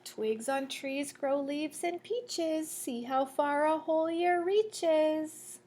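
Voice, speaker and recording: A woman reading a children's picture book aloud like a teacher, in a measured, sing-song storytelling way. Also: high-pitched, dark tone. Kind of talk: children's read-aloud storytelling with asides to listeners.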